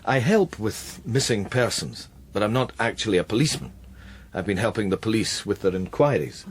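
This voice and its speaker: Wry, guarded Scottish man, played by an actor, hesitant and understated yet varied in pitch, radio play psychic. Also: lively intonation, moderate pace, a little hiss or hum, close to an everyday voice. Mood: tentative. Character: wry, guarded psychic detective, grown son. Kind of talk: naturalistic radio drama acting.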